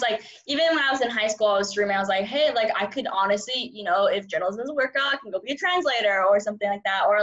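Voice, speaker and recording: A woman's voice: medium pitch, a bit bright, highs cut off. Chatty young woman, giggly rambling. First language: Cantonese. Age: 20s. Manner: rambling, upbeat, laughs often, many "like" fillers. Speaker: journalism student guest. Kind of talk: casual interview chat.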